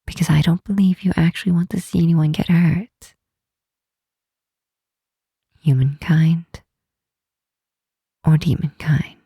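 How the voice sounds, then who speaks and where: Woman doing a soft breathy demon queen for asmr, low n slow, solemn but caring. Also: fairly even intonation, no room sound, studio quality, full character voice. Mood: earnest, confiding. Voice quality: soft, breathy, half-whispered. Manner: slow, tender, solemn, with deliberate pauses. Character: powerful demon queen, gentle, earnest, protective of the listener. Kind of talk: ASMR roleplay, soft intimate monologue to the listener.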